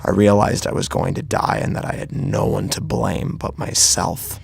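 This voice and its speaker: A man's voice: low voice, steady tone, moderate pace, vocal fry, husky, no echo, studio quality. A man narrating a horror story in the first person, in a grave, brooding, dramatic manner.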